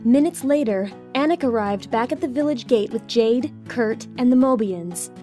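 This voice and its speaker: A woman's voice: on the high side, expressive, moderate pace, dark tone, faint music behind. A woman reading scripted story narration for an audio drama.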